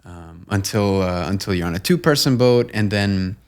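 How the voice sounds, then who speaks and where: A young man from Long Island with a low, smooth and resonant voice. He talks earnestly and at an even pace, with plenty of "uh" and "you know" and the odd restart, the way a guest speaks on a podcast interview. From Long Island, New York. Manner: measured and earnest, with frequent fillers and restarts. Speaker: guest, nonprofit co-founder. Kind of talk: conversational interview.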